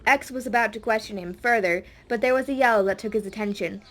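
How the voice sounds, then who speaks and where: A woman's voice: on the high side, brisk, fairly bright tone. A woman reading a scripted audiobook story as a dramatic narrator.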